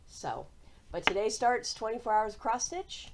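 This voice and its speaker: A woman's voice: fairly high pitch, lively intonation, normal speed, noisy background. An American woman chatting casually and cheerfully to viewers as the host of a hobby vlog. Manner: conversational, cheerful. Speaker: cross-stitch vlogger and host.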